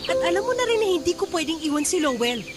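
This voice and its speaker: A very high-pitched young Filipino working woman in a radio drama. She is torn and exasperated, yet fiercely independent, speaking over loud music. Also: very animated, fast talker. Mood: firm.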